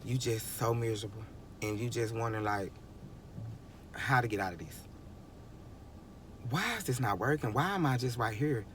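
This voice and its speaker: A man's voice: mid-range voice, animated, moderate pace, pauses often, a bit bright, slight background noise. A man in his twenties giving a rambling, emphatic motivational monologue, often chuckling and restarting sentences. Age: 20s. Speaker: vlogger.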